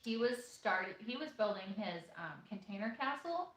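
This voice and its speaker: A woman's voice: mid-range voice, animated, normal speed, fairly bright tone. A woman in her late twenties with a mid-range voice, co-hosting a casual Q&A. She reads viewer questions aloud and chats loosely, with plenty of "um"s. The room around her is echoey. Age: 20s. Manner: chatty, reads questions aloud, many fillers. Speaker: co-host of a homesteading channel. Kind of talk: casual live Q&A chat. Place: room in an off-grid shop.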